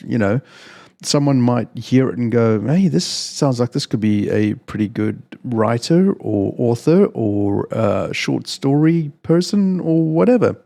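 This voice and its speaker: A man works as a storyteller, presenting fiction to listeners. His voice sits at a middle pitch with a dark, relaxed tone. A gravelly fry runs through his delivery. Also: a little slow. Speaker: storyteller, channel host reading own fiction. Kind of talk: casual introduction, then audiobook-style story narration.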